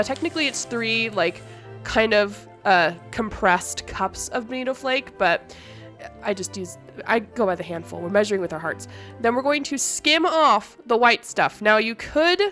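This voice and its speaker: A woman hosting a cooking video in a casual, chatty way. She sounds congested from allergies. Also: a little high. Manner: chatty, relaxed, talks while cooking. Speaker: cooking channel host. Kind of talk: casual cooking vlog narration.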